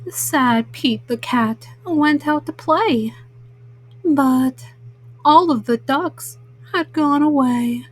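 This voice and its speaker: A woman storyteller reading a children's picture book at bedtime, slowly and simply, as a mama to young listeners. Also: sits high, poor audio. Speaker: storyteller host.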